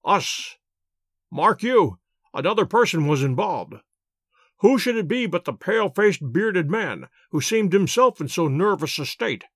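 A man reading a precise, calm detective. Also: high-pitched, no echo, studio quality, exaggerated voice. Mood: reasoning. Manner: measured, precise, lecturing. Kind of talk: audiobook character voice.

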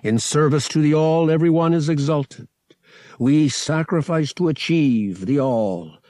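A man voicing an alien high priest in an audiobook, solemn and ceremonial as he declaims to the faithful. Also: unhurried, mellow, no room sound, studio quality, natural voice. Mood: solemn, exalted.

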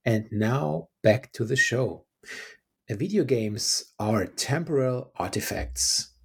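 A husky-voiced man hosts a podcast, giving an upbeat introduction before an interview. His pitch sits in the middle. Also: lively intonation, takes it slowly. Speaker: podcast host.

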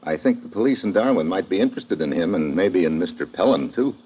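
An actor playing a tough, laconic investigator in an old-time radio detective drama, speaking in a clipped, dry way. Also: male voice, sits low, expressive, fast talker, breathy edge. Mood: dry, inquiring. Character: tough, laconic male American investigator narrating his own case. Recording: muffled, lightly noisy classic radio recording.

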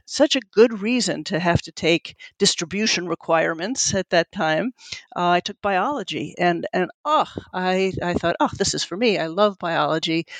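A woman's voice: on the low side, no room sound. A middle-aged woman whose first language is Italian, a neuroscientist answering interview questions in an earnest, thoughtful way, often searching for words. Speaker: neuroscientist, lab head. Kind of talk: interview guest, conversational.

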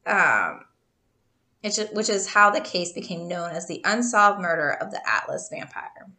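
A woman's voice: on the low side, lively intonation, moderate pace, sharp tone. A woman in her thirties co-hosting a podcast, telling a true crime story in a casual, chatty way, laughing often and stumbling over names.

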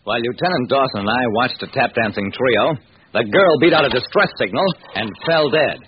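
A man's voice: medium pitch, brisk, dull audio, close to an everyday voice. Man acting a hard-boiled detective on noisy old radio. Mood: dramatic, tense. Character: hard-boiled male detective narrating his own case. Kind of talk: 1940s radio acting, first-person crime narration.

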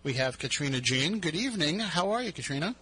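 A chatty radio host with a Boston accent, a man whose voice sounds bright and a little high. He jokes easily and keeps the conversation light. He speaks into a studio mic. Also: sing-song, fast talker, slightly rough. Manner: chatty, jokey, laughs often. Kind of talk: casual interview chat.

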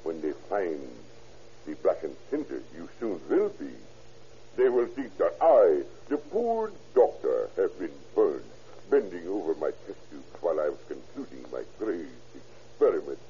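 A cackling German mad doctor. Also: male voice, deep voice, big pitch swings, unhurried, warm tone. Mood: gloating. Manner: gloating, coaxing, cackling. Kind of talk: 1940s radio acting. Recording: muffled old radio recording with faint hiss.

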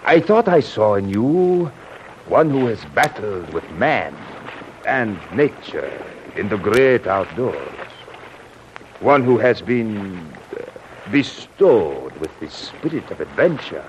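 Low-voiced, suspicious gentleman traveler, portentous and swooping, in an old radio serial. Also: very animated, takes it slowly, dull audio. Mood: probing, courteous. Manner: theatrical, portentous, formal. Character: probing, suspicious male ship passenger, courteous and ominous. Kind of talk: 1930s radio serial acting. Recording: noisy band-limited old radio recording.

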